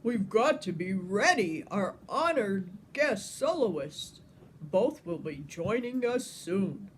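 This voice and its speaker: A woman's voice: medium pitch, very animated, slow pace, slight background noise. Woman playing a stern, grumpy male choir director, emphatic. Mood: businesslike, emphatic. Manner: emphatic, declaring.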